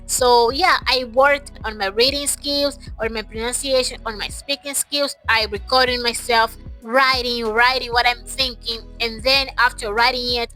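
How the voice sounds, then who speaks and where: A woman speaks in a high, very bright voice. She talks casually to her viewers in a motivational, emphatic way, often repeating phrases to stress them. Music plays softly behind her. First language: Portuguese. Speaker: English-learning YouTuber. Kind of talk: casual motivational talk to camera.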